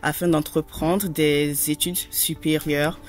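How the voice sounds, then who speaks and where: Formal, measured young woman. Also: low-pitched, flat delivery, faint music behind. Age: young adult. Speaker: former student giving a testimonial.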